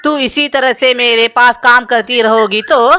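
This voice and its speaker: A woman's voice: on the high side, steady tone, unhurried, pushed and bright, smooth. A woman voicing a harsh, domineering male bird shop boss in a children's cartoon, stern and threatening. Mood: angry. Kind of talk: children's cartoon voice acting in Hindi.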